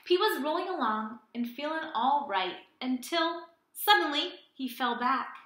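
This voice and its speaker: A young woman reading a picture book aloud like a teacher, animated and asking children simple questions. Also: high voice, unhurried, echoey room. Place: small indoor room with plain wall.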